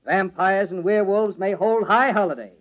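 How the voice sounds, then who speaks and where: An actor playing a jovial party host with a secret lover, in theatrical old-time radio style. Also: male voice, sits high, normal speed, lightly acted. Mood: mock-grand, playful. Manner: theatrical mock-pompous speechmaking, hushed urgency in private. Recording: dull old radio recording, organ music behind.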